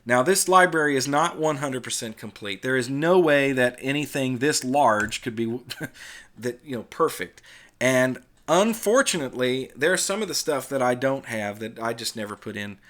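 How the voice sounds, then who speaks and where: A man's voice: medium pitch, lively intonation, moderate pace, fairly bright tone. A middle-aged man with an American accent gives casual, unscripted tutorial narration in a conversational, explanatory way, as the host of a video. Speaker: video host, former radio worker.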